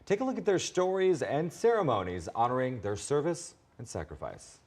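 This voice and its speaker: A man's voice: mid-range voice, sing-song, normal speed, a little mellow, no echo. An American man working as a TV news reporter, delivering scripted news narration. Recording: music behind the voice. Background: background music.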